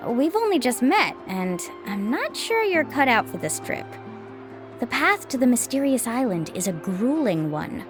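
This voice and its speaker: An actress playing an upbeat, earnest young female guide in an anime video game, friendly and expressive. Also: on the high side, measured pace. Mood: firm, friendly. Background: music.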